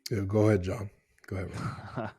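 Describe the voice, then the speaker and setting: A man co-hosting a podcast, asking questions with slow, measured, hesitant phrasing. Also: on the low side, flat delivery, mellow, husky, no echo, clean studio sound. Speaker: podcast co-host. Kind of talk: interview questions.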